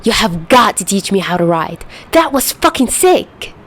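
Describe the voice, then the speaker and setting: Hot-headed young gangster for audio fiction, played by a woman: indignant, easily flustered, swinging widely in pitch. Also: very animated, normal speed, slightly rough. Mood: excited. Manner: indignant, yelling, grumbling. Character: brash young man, hot-headed foul-mouthed mafioso, easily flustered. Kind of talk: hobby audio fiction acting.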